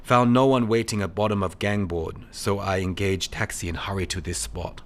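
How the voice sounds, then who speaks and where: Man playing low-voiced, courteous Chinese detective. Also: steady tone, normal speed, natural voice. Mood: courteous, humble. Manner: clipped broken English, formal aphorisms, chuckling politeness. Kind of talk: audio re-creation of a 1930s mystery film.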